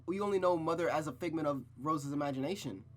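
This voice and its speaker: A host reading a visual novel aloud, then breaking off into blunt, excited asides. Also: medium pitch, quick pace. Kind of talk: narration with casual reactions.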